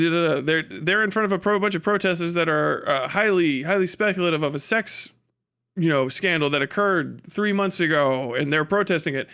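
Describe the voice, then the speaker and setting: A man's voice: high-pitched, fairly bright tone. An American man giving casual, off-the-cuff live commentary as a streamer, joking and swearing irreverently. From United States. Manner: breaks often into chuckles. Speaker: livestreamer and podcast host.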